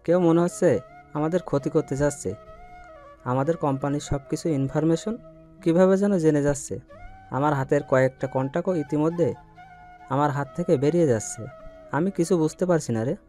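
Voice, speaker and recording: Fatherly businessman uncle, acted by a man, earnest and affectionate, mellow voice over background music. Audio drama. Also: a little high, a little fast, pauses often, close to an everyday voice. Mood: worried. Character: worried, fatherly uncle, wealthy family head and businessman. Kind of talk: Bengali audio story acting. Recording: music bed under voice.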